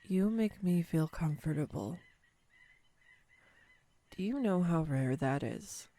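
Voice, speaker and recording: A woman's voice: on the low side, expressive, takes it slowly, dark tone, dry recording. A woman playing a clumsy, sweetly awkward young woman smitten on a first date, speaking tenderly and playfully to the listener in a romantic audio roleplay. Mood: tender, sincere. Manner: tender, playful, hesitant, self-deprecating. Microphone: close USB microphone, dry room.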